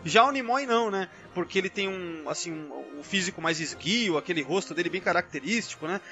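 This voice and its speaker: A man's voice: high-pitched, lively intonation, fairly quick, sharp tone, highs cut off. A Brazilian man in a casual podcast discussion, rambling and constantly adding little tag questions. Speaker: podcast panelist. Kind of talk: casual chat, film and TV trivia discussion. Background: background music.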